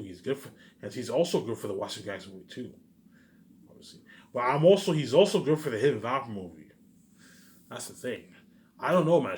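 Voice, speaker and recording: A man talking casually in a rambling, repetitive monologue, musing aloud like a vlogger. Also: medium pitch. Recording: noisy amateur recording.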